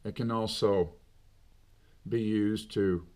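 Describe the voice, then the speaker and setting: A middle-aged man speaks his mind in an unscripted monologue, with long pauses between phrases. His voice sits on the low side, and he takes his time with every phrase. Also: steady tone, a little mellow. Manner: slow, deliberate, with long pauses. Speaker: commentator.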